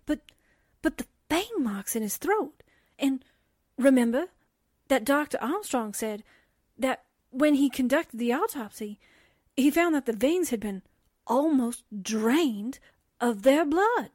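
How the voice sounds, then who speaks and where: A woman's voice: high-pitched, expressive, slow pace, pauses often, a little mellow, no room sound, studio quality, full character voice. An actress playing an anxious, doubting young woman assistant in a 1940s-style radio drama, hesitant and wondering. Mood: doubtful, uneasy.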